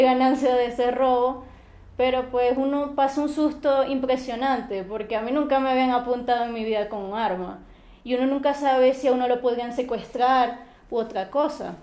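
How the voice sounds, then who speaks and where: A young woman with a Venezuelan accent from Maracaibo speaks in a calm, measured way. Her voice sits a little high and sounds mellow. The room around her is echoey. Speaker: interviewee. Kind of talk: interview.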